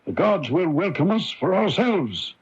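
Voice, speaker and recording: An actor playing a kind, principled pharaoh, stately, firm and formal, in 1980s radio drama style. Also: male voice, sits high, very animated, unhurried, breathy edge, heavily acted. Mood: firm, noble. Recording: lo-fi AM radio, faint noise.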